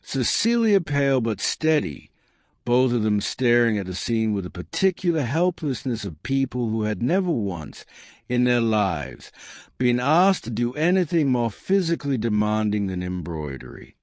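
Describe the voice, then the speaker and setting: A man narrating a historical romance as an audiobook, in a measured, literary and dramatic manner. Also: mid-range voice, very animated, darkish tone, no room sound, studio quality.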